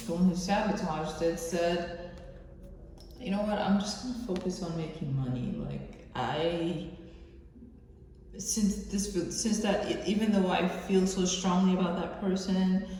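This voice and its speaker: A woman host giving an intuitive love reading off the cuff, halting and drawn out, with pauses. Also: lowish voice, a little mellow. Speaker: tarot reader, channel host. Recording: very echoey room, faint background noise.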